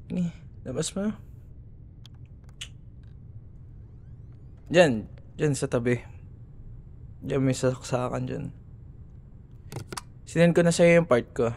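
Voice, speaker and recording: A young man with a Filipino accent playing a cocky, teasing, easily annoyed college rival in an ASMR roleplay audio. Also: mid-range voice. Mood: grudging, businesslike. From Philippines. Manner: teasing, sarcastic, exasperated.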